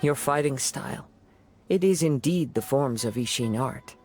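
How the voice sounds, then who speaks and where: An actor voicing a calm, perceptive young swordsman who speaks in a measured, analytical way. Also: mid-range voice, faint music behind, close to an everyday voice. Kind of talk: game voice acting. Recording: game soundtrack under voice.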